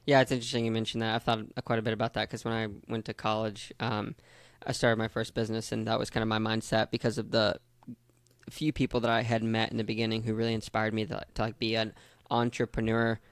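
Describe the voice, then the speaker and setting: A young adult man hosting a podcast, relaxed and encouraging, filling in with short affirmations. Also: on the low side, quick pace, smooth, dry recording. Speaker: podcast host. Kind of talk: casual interview chat.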